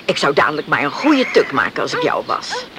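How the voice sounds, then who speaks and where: An actress playing a practical, managing farm wife in a Dutch radio play, brisk and down-to-earth. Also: female voice, deep voice, huge pitch swings. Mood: practical, curious. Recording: noisy old radio play recording.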